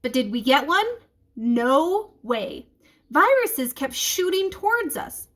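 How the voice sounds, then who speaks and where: A woman's voice: high-pitched, big pitch swings, takes it slowly, fairly bright tone. A woman reading a children's book aloud, expressively and speaking directly to young listeners.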